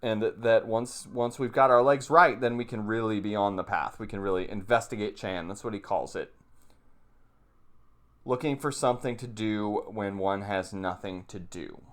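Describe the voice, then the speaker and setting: A man giving informal spoken instruction as a video host, plain-spoken and halting, repeating phrases as he explains and reads passages aloud. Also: on the low side. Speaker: meditation teacher, video host. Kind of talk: informal teaching commentary with read-aloud passages.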